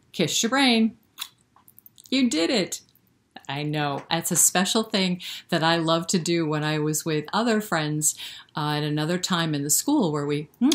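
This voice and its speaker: A woman hosting a story time for young children, speaking directly to them with questions and encouragement. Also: fairly low pitch. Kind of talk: interactive read-aloud for young children, with singing.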